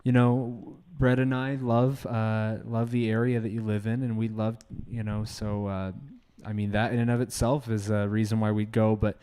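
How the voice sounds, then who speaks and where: A man co-hosting a call-in show in a conversational, polite, halting way. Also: lowish voice, little pitch movement, dark tone, clean tone.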